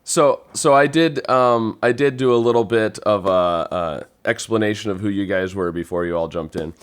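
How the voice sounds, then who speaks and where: Casting: male podcast host, somewhat low voice, easygoing chatty delivery. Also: moderate pace, smooth. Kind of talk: casual chat.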